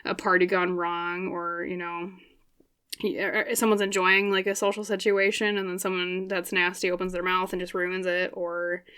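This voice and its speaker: A woman's voice: fairly low pitch, little pitch movement, fairly bright tone, smooth. Chatty woman reading tarot, slightly scratchy. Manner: chatty, thinks aloud with many fillers and quick laughs. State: voice getting scratchy. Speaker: tarot reader. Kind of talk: casual pick-a-card tarot reading.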